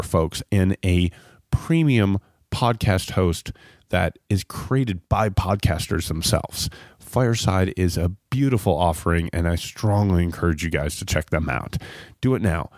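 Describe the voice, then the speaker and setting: A man's voice: low-pitched, darkish tone, dry recording, studio quality. A man hosting a podcast, speaking in a conversational, folksy way as he presents and chats. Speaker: podcast host. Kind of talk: ad read and interview chat.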